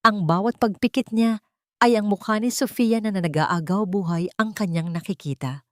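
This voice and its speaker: A woman's voice: mid-range voice, normal speed, no room sound, clean studio sound. A woman narrating a dramatic audiobook story in a solemn, suspenseful manner.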